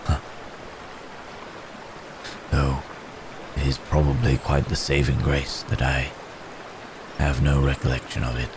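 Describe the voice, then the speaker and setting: Male actor as wounded werebeast in human form, ASMR drama. Extremely deep, gentle, troubled, sighing, old-fashioned wording. Clear rain-like ambience behind. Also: level tone, background noise. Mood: brooding, troubled. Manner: halting, formal old-fashioned phrasing, sighing. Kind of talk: ASMR roleplay acting.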